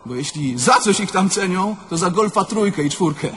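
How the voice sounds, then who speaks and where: An actor playing a cocky, self-justifying young car thief in a radio drama, bombastic and ironic. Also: male voice, sits high, animated, moderate pace, a bit bright, a little hiss or hum. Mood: boastful. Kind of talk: theatrical radio drama monologue.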